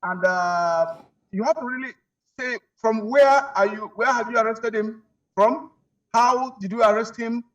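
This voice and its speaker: A man with a Nigerian accent giving his views as an interview guest, halting and repeating himself as he searches for words. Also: high-pitched, a bit bright, distant mic, highs cut off. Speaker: human rights advocate, interview guest. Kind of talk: spoken opinion in a news interview.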